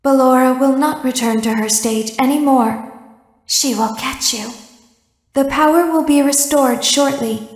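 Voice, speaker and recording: A woman voicing an eerie, childlike animatronic girl in a video game, wistful and sinister, speaking in a measured, detached way with deliberate pauses. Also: high voice. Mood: calm, cautioning. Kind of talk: video game character monologue. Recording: echoey hall with long reverb.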